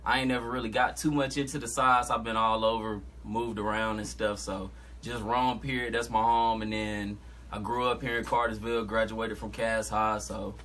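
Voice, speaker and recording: A man's voice: lowish voice, little pitch movement, normal speed, a bit bright, a little hiss or hum. A young man from Georgia, a rapper talking casually and laid-back in a podcast interview. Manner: laid-back, talks in slang. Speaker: guest rapper.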